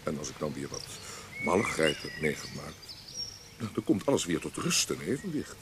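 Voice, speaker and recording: A very deep-voiced, self-doubting roguish poet. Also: male voice, big pitch swings, moderate pace, exaggerated voice. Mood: playful, sheepish. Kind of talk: Dutch radio play acting. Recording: noisy old radio play recording.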